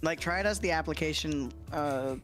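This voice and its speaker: A man's voice: a little high, moderate pace, fairly bright tone. A man chatting casually on a gaming livestream and reading out game text, with an easygoing, jokey, slangy manner. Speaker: co-streamer and guest voice actor. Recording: music playing behind the voice.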